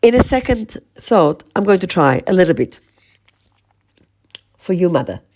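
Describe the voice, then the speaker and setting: Slow, husky-toned woman podcast host. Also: fairly low pitch, very animated, darkish tone. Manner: slow, measured, lingering on lists. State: voice strained, losing her voice. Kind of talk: reflective spoken podcast monologue.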